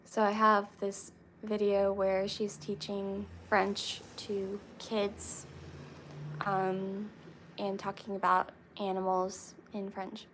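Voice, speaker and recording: A young woman chatting casually with her viewers, relaxed and reading comments aloud. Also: mid-range voice, slow, a little hiss or hum, rough recording. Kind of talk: casual chat with live chat.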